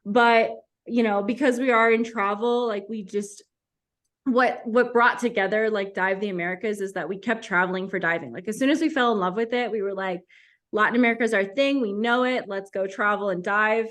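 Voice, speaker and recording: A woman's voice: medium pitch, fairly quick, fairly bright tone. A woman from Costa Rica, a guest on a podcast, explaining things in an easygoing, casual way. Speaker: guest, travel agency co-founder.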